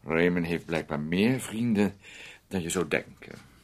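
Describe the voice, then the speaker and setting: An actor playing a fussy, controlling brother in a radio play, dryly condescending and halting, with little interjections. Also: male voice, sits low. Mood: sardonic, condescending. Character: fussy, sardonic, controlling brother of a grown man.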